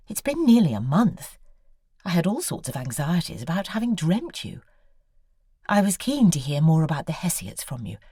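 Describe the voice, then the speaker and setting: An anxious woman writer in an audio drama, confiding and articulate. Her low, husky voice moves in wide, expressive sweeps as she voices her correspondence with brooding intensity. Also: dry recording, clean studio sound, exaggerated voice. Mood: reproachful, eager. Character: anxious, needy, brooding woman novelist writing emails. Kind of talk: audio drama monologue of read letters.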